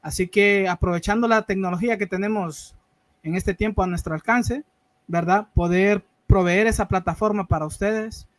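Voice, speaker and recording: High-voiced male host, dry sound. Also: steady tone, normal speed, no echo. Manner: polite, filler-heavy, repeats "¿verdad?". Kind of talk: show closing remarks. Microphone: video call.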